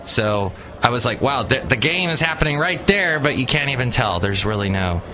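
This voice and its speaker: A man's voice: medium pitch, normal speed, slight background noise. A man hosting a podcast, chatting casually and joking playfully with his co-host. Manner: playful, jokey, lively. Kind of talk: casual chat.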